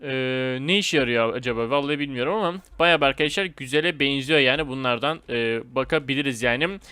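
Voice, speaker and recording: A man giving casual, excitable gameplay commentary in informal slang, talking straight to his viewers. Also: mid-range voice, fast, clear, resonant tone, dry recording. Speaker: gaming video host.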